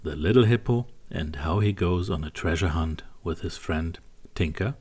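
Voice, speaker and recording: A man narrating a scripted bedtime story for young children in a simple, deliberate way. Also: low-pitched, a little mellow, breathy edge.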